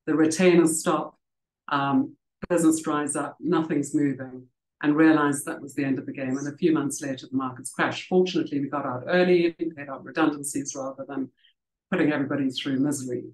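A woman's voice: low-pitched, fairly even intonation, brisk, darkish tone. A middle-aged woman, raised in South Africa, telling her career story at a book festival in a conversational, reflective way with frequent ums and restarts, speaking over a video call from home. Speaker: author and leadership coach. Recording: roomy video call audio.

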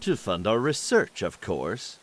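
Cool composed low-voiced tycoon, acted by a man. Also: wildly swooping pitch. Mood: calm, smug. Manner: composed, measured, authoritative. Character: cool, self-assured male doctor and pharma tycoon. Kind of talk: animated comic dub. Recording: music behind the voice.

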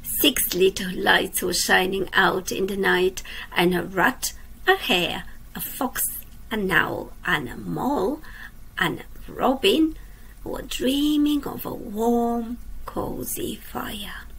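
Woman teacher, children's read-aloud at a webcam; slow and gentle, husky with some fry, quizzes the kids as she goes. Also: mid-range voice, animated, rough recording. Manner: slow, gentle, drops to a soft whisper at line ends; asks the young listeners questions. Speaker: teacher reading a storybook. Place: indoors at a computer.

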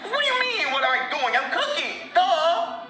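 A man voicing an irritable chef in a comedy puppet skit, loud and sarcastic with exasperated outbursts. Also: very high-pitched, sing-song, normal speed, over loud music. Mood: irritated. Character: irritable, exasperated male chef, quick to shout. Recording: very echoey room, screen-recorded video playback.

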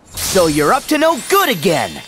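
A man's voice: high voice, wildly swooping pitch, moderate pace, bright, forceful tone, faint music behind, heavily acted. An actor playing an earnest, cheeky teenage boy hero in a video game. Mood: defiant. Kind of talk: video game cutscene acting.

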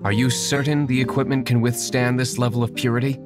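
An actor playing a composed young male winery owner in a game, speaking in a measured, formal, well-spoken manner. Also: mid-range voice, little pitch movement, natural voice. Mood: composed, dry. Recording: studio voice over game music.

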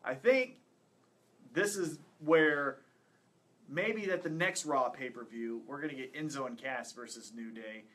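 A man's voice: medium pitch, a little slow, fairly bright tone. A man in his thirties co-hosting a podcast, chatting casually and making predictions. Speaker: podcast co-host. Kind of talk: casual wrestling predictions chat. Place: small indoor studio with acoustic foam panels.

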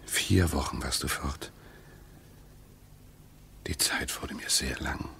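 An actor playing a brooding, estranged husband in a radio play, with deliberate dramatic delivery. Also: male voice, rumbling low, vocal fry, bright, forceful tone, slightly rough, in a whisper, heavily acted. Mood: hushed, dreamy. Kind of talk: German radio play acting.

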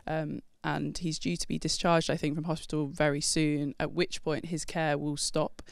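A woman's voice: sits low, little pitch movement, normal speed, gravelly, no room sound. A woman in her thirties co-hosting a casual chat show, chatty and teasing, speaking into a handheld mic in a studio. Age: 30s. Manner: chatty, teasing, laughs often. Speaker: podcast co-host. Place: red studio set, indoors.

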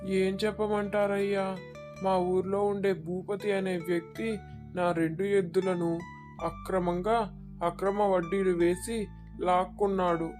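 A man's voice: high voice, level tone, measured pace, music in the background. A man playing a downcast, deferential older village farmer with a plaintive manner in a moral story. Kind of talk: scripted moral story dialogue.

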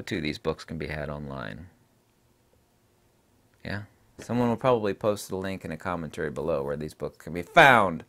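Middle-aged guy ranting about books at his desk, lowish allergy-stuffed voice, bouncy pitch, opinionated. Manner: emphatic and opinionated, trails off with fillers. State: voice deepened by allergies. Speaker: book reviewer and channel host. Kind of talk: casual book-recommendation monologue. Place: indoor home studio desk.

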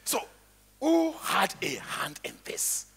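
A man with a Nigerian accent acting on stage as an anguished, excitable old retired palace guard, with a raspy, theatrical voice. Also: high voice, takes it slowly, exaggerated voice. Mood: wary, questioning. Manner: exclamatory, theatrical.

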